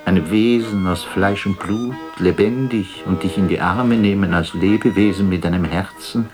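An actor playing a melancholy, lonely poet in a literary radio drama, speaking in a solemn, introspective and theatrical way. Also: male voice, deep voice, moderate pace, music in the background, natural voice. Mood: yearning.

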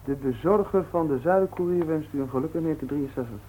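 An actor playing a cocky, playful student son, eager and jocular, in a 1960s radio play. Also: male voice, fairly high pitch, fast talker, mellow, a little hiss or hum, close to an everyday voice. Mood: curious, eager. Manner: cheeky, jocular. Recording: narrow, tinny 1960s radio recording.

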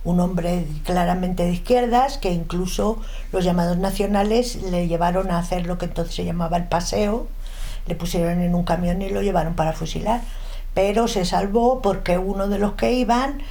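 A woman's voice: on the low side, steady tone, moderate pace, mellow. A woman in her seventies with a Madrid Spanish accent, recalling her life emphatically in an interview. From Madrid, Spain. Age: 70s. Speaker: interview guest. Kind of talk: casual reminiscence in an interview. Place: indoors at home.

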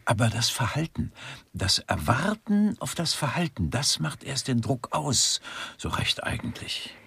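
An actor playing a pompous, touchy intellectual who lectures emphatically in a satirical radio crime drama. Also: male voice, medium pitch, sing-song, a little slow, creaky, fairly bright tone, breathy edge, no echo, heavily acted. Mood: exasperated, lofty.

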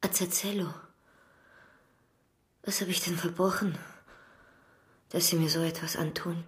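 Woman playing a slow, intense, fervent heroine. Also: on the low side, fairly even intonation, lightly acted. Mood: shaken whisper. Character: passionate woman, a devoted lover, fervent and dramatic. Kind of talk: intense radio play acting.

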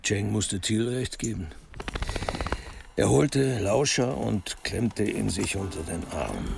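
A man's voice: fairly low pitch, lively intonation, slow pace, creaky, over music. A man narrating a crime radio drama in a scripted, literary style.

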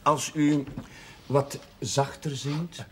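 An actor playing an earnest, polite but exasperated village chaplain in a television drama, courteous and hesitant. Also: male voice, medium pitch, steady tone, drawn-out words, fairly bright tone, slight background noise. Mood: apologetic, hesitant. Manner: courteous, hesitant under attack.

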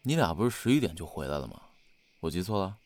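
A man's voice: sits low, big pitch swings, quick pace. A man playing a laid-back, grumbling young idol bandmate, speaking casually in an audio drama. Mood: sly.

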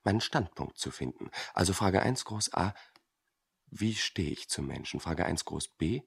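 Earnest, husky-voiced young clerk. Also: male voice, sits low, lively intonation, unhurried, heavily acted. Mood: brisk, methodical. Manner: formal, courteous, pedantic. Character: polite, earnest young male clerk, recent student. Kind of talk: German satirical radio drama acting.

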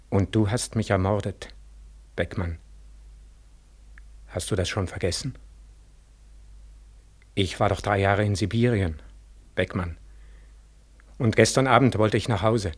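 An actor in a 1940s German radio drama playing a dead war returnee, a mournful, gently accusing ghost who speaks slowly and solemnly with heavy pauses. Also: male voice, fairly low pitch. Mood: mournful accusation. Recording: 1940s radio studio recording.

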